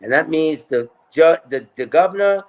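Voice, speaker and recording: A man's voice: a little high, expressive, normal speed, a little mellow. A man talking as a political candidate in a casual interview, emphatic and repeating his points.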